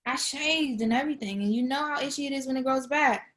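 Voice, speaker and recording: A woman's voice: sits high, quick pace, fairly bright tone. An actress at a table read playing a playful, needy girlfriend in a relationship comedy-drama. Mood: disappointed. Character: playful, needy, broke long-distance girlfriend.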